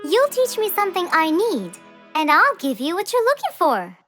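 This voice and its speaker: A woman's voice: very high voice, huge pitch swings, moderate pace, fairly bright tone, faint music behind, heavily acted. A woman voicing an earnest, naive little cartoon girl with an innocent, insistent manner. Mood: earnest persuasion. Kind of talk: children's cartoon dubbing.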